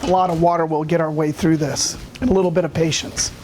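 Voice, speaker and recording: A man giving a lecture, hoarse from a cold, halting often with filler sounds and clearing his throat. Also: a little high, level tone, background noise. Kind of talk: academic lecture.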